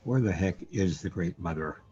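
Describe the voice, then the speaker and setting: An actor playing a troubled, bewildered man in a surreal sci-fi radio drama, hesitant and halting as he muses aloud. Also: sits low, warm tone, highs cut off, slight background noise, close to an everyday voice. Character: troubled, bewildered man overwhelmed by cosmic reality.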